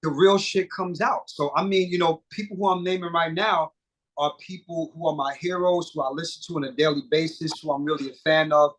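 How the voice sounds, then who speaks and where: A middle-aged man, a singer and producer, chatting casually as an interview guest, animated and swearing freely with many fillers and restarts. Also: on the high side, brisk.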